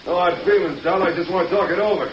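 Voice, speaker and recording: A man's voice: sits high, rapid-fire, natural voice. An actor playing a folksy, over-friendly American tough guy who rambles on, in an old radio drama. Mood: chummy, rambling. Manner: rambling, folksy, repetitive catchphrases. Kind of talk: 1950s radio drama acting. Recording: muffled, hissy old radio recording.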